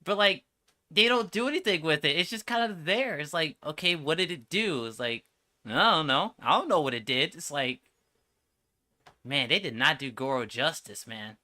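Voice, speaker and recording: Very bright-voiced man, streaming reactions. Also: on the high side, animated, normal speed. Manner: slangy, exasperated, repetitive. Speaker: streamer reacting to game trailers. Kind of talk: casual reaction livestream commentary.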